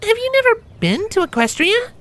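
A man's voice: very high voice, very animated, moderate pace, fairly bright tone, pitched above the performer's own voice, heavily acted. A man voicing a friendly, thoughtful young female griffin with an earnest, chirpy manner, in a fantasy story reading.

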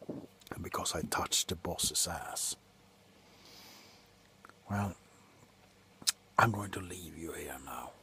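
A tipsy man playing a callous, grumpy doctor in a slow, sullen ASMR roleplay, whispering sarcastically up close. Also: low voice, pushed and bright, a little hiss or hum, full character voice. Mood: sarcastic, irritated. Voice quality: breathy whisper. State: drunk. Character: grumpy, callous, drunken male doctor on a house call. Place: small cluttered room indoors.

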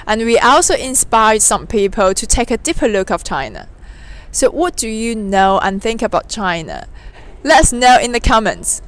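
A woman's voice: a little high, big pitch swings, normal speed, rough recording. A young woman presenting a street interview show outdoors in a scripted style. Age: young adult. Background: music.

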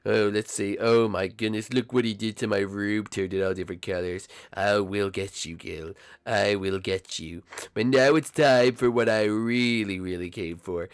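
A man's voice: on the low side, level tone, pitched below the performer's own voice, natural voice. A man playing a sneaky, vengeful thief in a cartoon-style skit, muttering menacingly and gloating to himself. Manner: slow, drawn-out, muttering menace.

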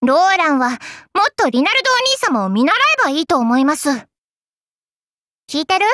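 A woman's voice: high-pitched, very animated, moderate pace, a bit bright, no echo, studio quality. A woman voicing an earnest young female knight in an anime game, insistent and pouting as she scolds. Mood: pouting, scolding. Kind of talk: anime game character acting.

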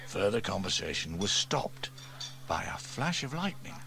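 Lively storybook reader with tape hiss. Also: male voice, measured pace, creaky. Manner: lively, switches into higher voices for characters. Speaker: audiobook reader. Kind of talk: storybook narration with character voices. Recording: faint tape hiss from old cassette.

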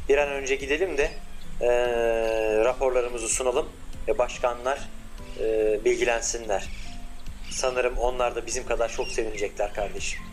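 A man voicing a confident male lead scientist who gives orders to his younger brother in a bossy but warm way. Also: medium pitch, steady tone, normal speed, faint music behind, lightly acted. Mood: commanding.

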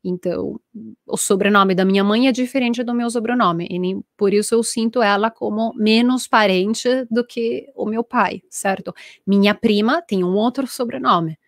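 A woman's voice: medium pitch, normal speed, no room sound, studio quality. A woman in her thirties from Italy with an Italian accent, teaching a live online language class from a small room at home and reading out viewers' comments. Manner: lively, reads viewer comments, gestures a lot. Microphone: earphone headset mic.

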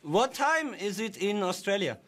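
A man playing a nervous, bumbling German interviewer who speaks halting broken English with a German accent. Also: sits high, sing-song, fairly bright tone, exaggerated voice. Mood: awkward. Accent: German (put on). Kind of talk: prank interview.

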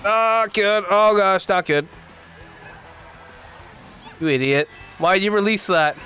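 A young man with a congested voice from the flu, giving casual, excitable commentary as he plays a racing game at home on a desk mic. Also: high voice, slow, faint music behind. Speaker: gaming YouTuber. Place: home gaming room. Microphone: desk mic, face camera.

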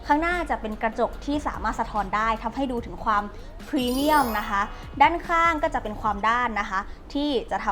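A young woman politely explaining a product as she unboxes it for a review. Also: fairly high pitch. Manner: polite, explanatory, steady walk-through. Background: faint background music.

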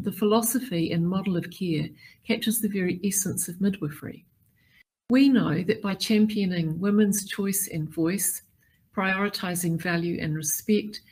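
A middle-aged woman delivering a formal prepared keynote address in a measured, deliberate way. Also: on the low side, darkish tone, husky, low-quality recording. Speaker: keynote speaker.

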